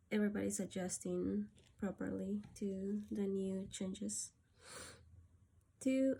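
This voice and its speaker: A woman from Peru talking through a personal gratitude list, softly and hesitantly, with many pauses and fillers. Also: medium pitch. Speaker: vlogger.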